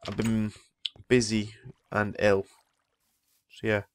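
A man's voice: fairly low pitch, completely flat delivery, slow pace, smooth, no echo, studio quality. A man who is ill, rambling casually through gameplay commentary and easily impressed by what he sees. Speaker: Minecraft let's player.

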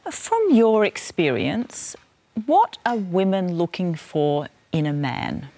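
Female studio host, low-pitched, calm and probing, dry close mic. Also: slow, no echo. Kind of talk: television interview. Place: television studio.

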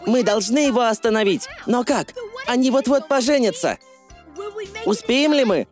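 A woman's voice: fairly high pitch, expressive, moderate pace, a little mellow, soft background music, close to an everyday voice. A woman voicing a confident, bossy young boy in a cartoon dub, speaking emphatically. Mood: dramatic.

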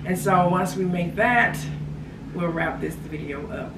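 A woman's voice: lowish voice, unhurried, gravelly. A middle-aged woman chatting casually as a lifestyle vlogger, recorded in a home kitchen on a camera microphone. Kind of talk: casual vlog chat. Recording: steady ambient noise behind voice.

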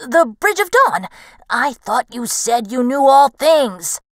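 A woman voicing a brash, eager male fox in a storybook tale, with a cocky, excitable manner. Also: high-pitched, slow pace, dry recording, clean studio sound, heavily acted. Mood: insistent, curious.

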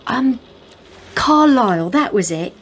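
Lively, high-voiced brash young woman. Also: slow, a little hiss or hum. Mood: breezy, evasive. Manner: sharp-tongued, flippant, evasive. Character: brash young shopgirl covering for her boyfriend. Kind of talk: radio drama acting.

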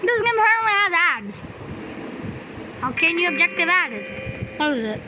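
A video host giving excitable game commentary, reacting aloud and repeating words. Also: very high-pitched, normal speed. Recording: clear background noise.

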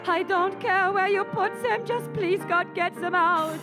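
Woman putting on a snooty french cabaret diva, put-on french accent, super high voice, drawn-out and slow, live music behind. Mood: mocking song. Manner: camp, mocking, flamboyant, sung with musical backing. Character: haughty French female chanteuse, theatrical and scornful. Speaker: cabaret performer. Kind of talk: comic cabaret song and patter.

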